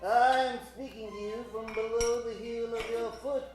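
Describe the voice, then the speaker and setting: A man playing an elderly, heroic scientist in an improvised old-time radio comedy, calm and defiant with deliberate dramatic delivery. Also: very high voice. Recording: echoey room from far off, music behind.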